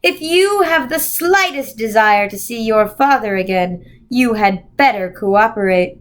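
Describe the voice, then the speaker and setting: A woman voicing a menacing, coolly amused man who speaks by thought in a storybook, slow, deliberate and condescending. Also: fairly high pitch, animated. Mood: cold menace.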